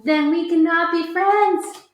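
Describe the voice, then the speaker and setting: A woman's voice: very high voice, steady tone, slow pace, voice pushed up. A woman voicing Gerald, a worried, sneezing male friend who talks in a dramatic, fretful way. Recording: echoey room.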